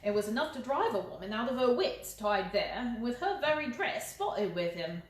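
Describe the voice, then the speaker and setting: A woman's voice: a little high, very animated, moderate pace, roomy sound, a little hiss or hum. A woman voicing a gaunt, fiercely protective, indignant old lady's maid in a storybook reading.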